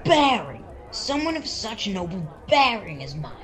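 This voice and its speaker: A woman's voice: mid-range voice, big pitch swings, a little slow, fairly bright tone. A young girl acting a proud, touchy dwarf adventurer in a boastful, mock-courtly manner for an amateur fantasy radio play. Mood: indignant. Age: child, 10 to 13. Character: proud, blustering dwarf adventurer named Jax, quick to take offence. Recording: chatter behind, home video-call recording.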